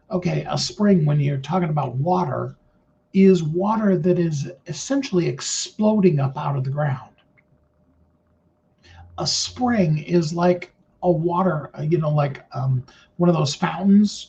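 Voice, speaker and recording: A middle-aged man with a naturally high voice giving an earnest, rambling motivational talk with many fillers and restarts. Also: vocal fry, warm tone, muffled recording. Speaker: motivational speaker.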